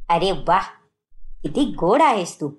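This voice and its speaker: A woman's voice: fairly low pitch, big pitch swings, a little slow, pitched below the performer's own voice. A woman voicing a bossy, proud middle-aged mother-in-law in a cartoon moral story, speaking emphatically. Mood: proud, approving. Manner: emphatic, scolding and praising in turns.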